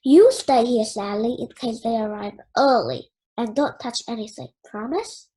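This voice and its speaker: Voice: mid-range voice, animated, measured pace, warm tone, voice pushed down, lightly acted. A child voicing a stern, exasperated mother from a children's storybook, in a scolding, commanding manner.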